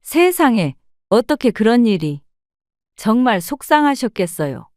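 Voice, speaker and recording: A woman voicing a kindly neighbor woman who speaks with warm, expressive sympathy. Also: fairly high pitch, measured pace, no echo, studio quality. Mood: sympathetic.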